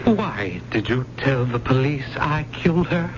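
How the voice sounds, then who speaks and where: An actor playing an obsessed, volatile college professor in old-time radio drama, erudite and courteous but halting when cornered. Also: male voice, medium pitch, expressive, close to an everyday voice. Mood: menacing, agitated. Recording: noisy, dull old radio drama recording.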